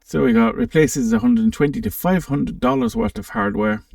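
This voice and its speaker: A man giving a casual, unscripted software walkthrough, thinking aloud with some hesitation as he presents. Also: medium pitch, very animated, moderate pace, creaky, clean studio sound. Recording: roomy, slightly distant microphone.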